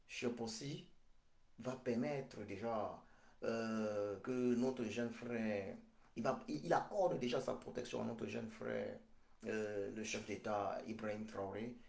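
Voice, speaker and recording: A man, giving a slow, repetitive prophetic address full of hesitations. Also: medium pitch, gravelly, darkish tone, reverberant. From Cameroon. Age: 40s. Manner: slow, repetitive, hesitant with many pauses and fillers. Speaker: political speaker.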